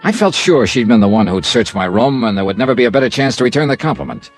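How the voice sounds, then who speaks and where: A man's voice: mid-range voice, expressive, quick pace, soft background music. An actor playing a wry, self-assured male investigator, dry and clipped, in classic radio detective drama. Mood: matter-of-fact. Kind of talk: classic radio detective acting and first-person narration.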